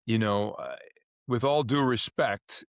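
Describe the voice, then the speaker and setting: A man's voice: medium pitch, slow pace, no room sound, studio quality. A man hosting a podcast interview, talking casually and chattily, often repeating and restarting words. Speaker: podcast host.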